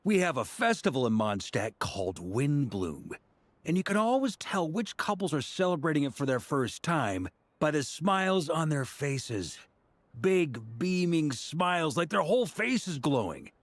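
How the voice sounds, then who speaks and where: An actor playing an easygoing, hearty, upbeat adventurer in a video game, breezy and reassuring. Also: male voice, fairly high pitch, very animated, unhurried, no echo. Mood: cheerful. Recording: music behind the voice.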